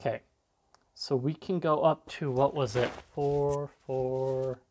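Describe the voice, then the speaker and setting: A man's voice: medium pitch, fairly even intonation, slow pace, darkish tone, slight background noise. A man giving a casual, off-the-cuff tech demo, rambling a little and trailing off mid-thought. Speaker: tech video creator demonstrating a device.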